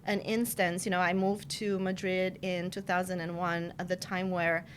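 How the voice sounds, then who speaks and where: A woman's voice: lowish voice, level tone, normal speed, slight background noise. A woman in her forties from Romania, a guest talking through her career in an earnest, reflective way with lots of "you know" fillers. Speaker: podcast guest, marketing director. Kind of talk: casual interview chat.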